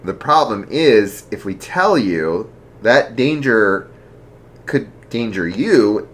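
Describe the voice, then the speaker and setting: A man's voice: on the low side, sing-song, slow. A storyteller voicing an anxious young man who speaks earnestly and with worry, close to their own voice. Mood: worried.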